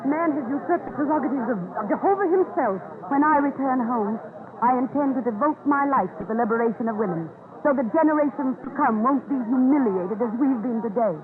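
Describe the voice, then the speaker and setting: High-voiced indignant suffragist, old radio. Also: female voice, expressive, a little fast, phone-quality sound, a crowd in the background. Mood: indignant, resolute. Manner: earnest, oratorical. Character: determined, indignant women's rights campaigner of the 1800s. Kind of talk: 1930s radio drama acting. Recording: noisy, phone-thin 1930s radio recording.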